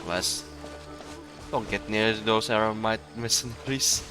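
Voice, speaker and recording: A man's voice: lowish voice, steady tone, moderate pace. A man giving casual, offhand commentary as he plays a video game. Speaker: game streamer. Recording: loud game music behind the voice.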